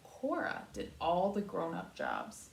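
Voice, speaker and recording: A woman voicing a cheerful, patient mother in a children's storybook, gently instructing in a singsong way. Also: on the low side, wildly swooping pitch, slow, gravelly, recorded from a distance, pitched below the performer's own voice, heavily acted. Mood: encouraging. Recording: roomy echo.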